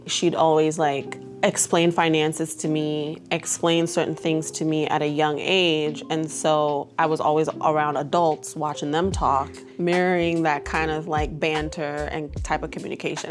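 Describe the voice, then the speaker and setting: A woman from Trinidad and Tobago speaking candidly and conversationally about herself in a reflective documentary interview. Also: sits low. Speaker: aesthetician and entrepreneur. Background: background music.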